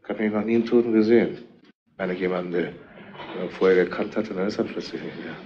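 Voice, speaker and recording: An actor playing a blunt, crude-humoured tavern regular in a retro radio crime play, rambling and insinuating in colloquial speech. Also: male voice, sits low, quick pace, noisy background. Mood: shaken. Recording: dull, band-limited old radio recording.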